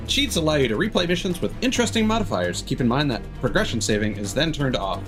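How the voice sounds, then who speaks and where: A man giving casual livestream game commentary, musing in a halting way and often trailing off mid-thought. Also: a little high, very animated. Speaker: game streamer. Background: game music.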